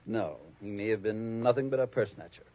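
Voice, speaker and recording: An actor playing a prim, snobbish, scheming heir who speaks precisely and sarcastically, in 1940s radio acting on a dull lo-fi old radio recording. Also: male voice, on the low side, a little fast, natural voice. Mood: haughty.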